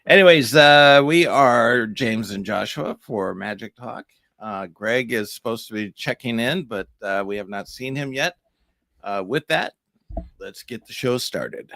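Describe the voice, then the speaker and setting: A man's voice: mid-range voice, unhurried, gravelly, sharp tone, no room sound. A middle-aged man co-hosting a casual chat, laid-back and rambling. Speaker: magician and co-host. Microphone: studio mic.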